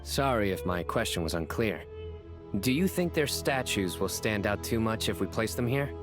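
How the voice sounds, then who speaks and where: A man acting a calm, courteous figure in a video game. His voice is on the low side with expressive intonation, thoughtful and polite, over clear music. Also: normal speed, close to an everyday voice. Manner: polite, considered.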